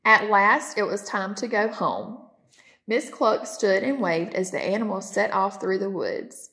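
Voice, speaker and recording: A woman's voice: medium pitch, a little slow, a bit bright. A woman reading a children's picture book aloud as a storytime narrator. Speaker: storytime reader.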